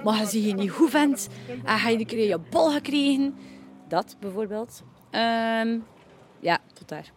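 A woman's voice: a little high, measured pace. A woman with a Ghent Flemish accent, chatting playfully and switching between languages in an interview. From Flanders, Belgium. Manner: lively and playful, laughing.

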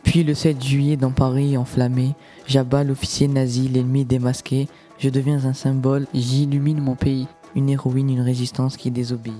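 A man's voice: medium pitch, moderate pace, faint music behind, close to an everyday voice. Young man voicing a defiant young resistance heroine. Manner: rhythmic, steady recitation. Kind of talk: first-person spoken-word poem.

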